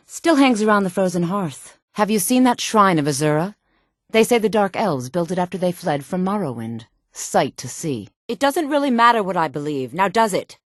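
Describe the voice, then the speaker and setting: An actress voicing a sultry, sly fantasy game woman with a smooth, deliberate, coaxing and quietly menacing delivery. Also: lowish voice, dry recording, studio quality. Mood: conversational, informative. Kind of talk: video game character dialogue.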